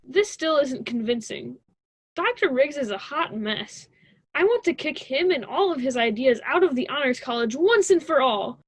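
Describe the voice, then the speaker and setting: A woman playing a stubborn, cocky college student in an amateur radio drama, flippant and husky, on a dry, dull recording. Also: high-pitched, expressive, normal speed, no echo. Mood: skeptical. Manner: flippant, casual, argumentative.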